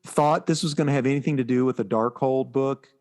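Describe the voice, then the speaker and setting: Man chatting casually, mid-pitched voice. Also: fairly even intonation, brisk, a little mellow. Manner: conversational, explanatory, informal. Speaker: podcast co-host. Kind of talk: casual chat, recapping comic books.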